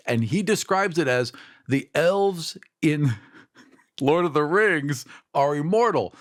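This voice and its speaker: A man talking casually and animatedly in a podcast discussion, acting out imagined quotes as he goes. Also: a little high.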